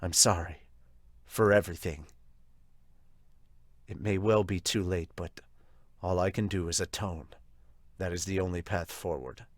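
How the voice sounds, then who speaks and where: Man doing brooding anime hero father, low pitch, subdued, stern, solemn, remorseful, dry recording. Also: fairly even intonation, unhurried, long pauses, no echo. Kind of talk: anime manga fan dub.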